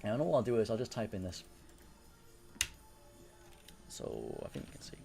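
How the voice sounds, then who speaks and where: A young man from Wales with a Welsh accent softened by English, chatting casually on a live stream, rambling, swearing and answering chat. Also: on the low side, huge pitch swings, moderate pace, creaky, over music. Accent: Welsh with English influence.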